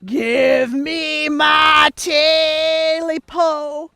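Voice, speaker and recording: A woman storyteller voicing an eerie creature that slowly moans for its lost tail. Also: sits high, flat delivery, bright, forceful tone, dry recording, clean studio sound, voice pushed up, full character voice. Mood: eerie moaning. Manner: slow drawn-out moaning.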